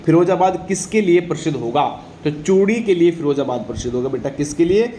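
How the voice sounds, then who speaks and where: A man's voice: a little high, lively intonation, brisk, warm tone. A man teaching exam material informally, often repeating questions and answers and checking that students follow. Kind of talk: informal lecture on exam general knowledge. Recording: echoey room with faint background noise.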